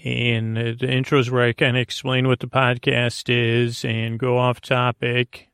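A man hosting a sleep podcast in a rambling monologue, with a creaky voice and a soothing, meandering, halting delivery that often repeats words. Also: mid-range voice, completely flat delivery, a bit bright, no echo, studio quality. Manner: soothing, lulling. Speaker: podcast host.

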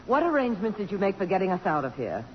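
A brisk, proud middle-aged congresswoman, played by a radio actress, with lively, swooping intonation. She has the polish of 1950s radio theater, heard through a muffled, lightly hissy broadcast recording. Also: medium pitch, lightly acted. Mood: brisk, bossy.

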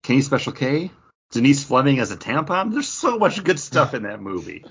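A man's voice: medium pitch, big pitch swings, moderate pace, slight background noise. A talkative, enthusiastic man bantering casually as a podcast panelist. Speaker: podcast panelist and quiz contestant. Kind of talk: casual chatty banter about films. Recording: dull lo-fi podcast audio.

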